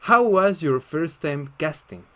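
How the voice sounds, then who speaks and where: Brief: Spanish-accented male interviewer, fairly high voice, friendly and a bit nervous. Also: lively intonation, takes it slowly, fairly bright tone. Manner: friendly, nervous, laughs often. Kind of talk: casual interview.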